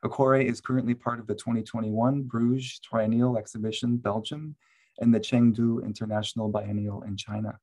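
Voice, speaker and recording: A man moderating a panel on a video call, reading a formal introduction of the speaker in a steady, measured way. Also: mid-range voice, rough recording.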